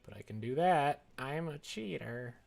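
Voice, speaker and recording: A man with a very creaky, gravelly voice at an ordinary pitch chats casually on a livestream. He thinks aloud as he browses, taking his time and slipping between muttered notes to himself and playful asides. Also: expressive, a little slow, a little mellow. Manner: muttering to self, playful asides and sound effects. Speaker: livestreamer making a visual novel.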